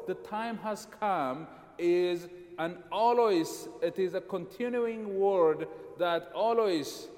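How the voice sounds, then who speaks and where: Ethiopian-born man preaching slowly, high voice. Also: in a large hall. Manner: emphatic, repetitive preaching with dramatic pauses. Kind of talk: sermon. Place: church sanctuary.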